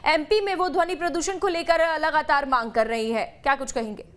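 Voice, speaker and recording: High-pitched woman news anchor. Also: a little fast. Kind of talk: broadcast news reading and questioning.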